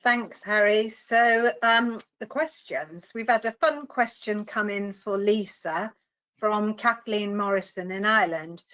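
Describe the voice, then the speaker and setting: A woman moderating a webinar, briskly handing over to speakers and reading out questions. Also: mid-range voice, fairly bright tone. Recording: dull lo-fi call audio.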